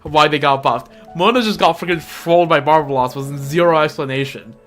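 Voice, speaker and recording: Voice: mid-range voice, fairly quick, a bit bright. A livestreamer giving casual, slangy gameplay commentary in a rambling, conversational way. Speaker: livestreamer playing a video game. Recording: game music behind the voice. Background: video game music.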